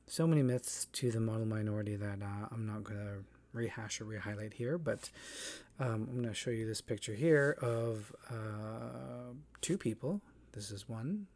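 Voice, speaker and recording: A man giving a reflective spoken monologue as a theatre artist, talking thoughtfully with many pauses, fillers and restarts. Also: lowish voice, creaky, darkish tone. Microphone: voice-over, face not shown.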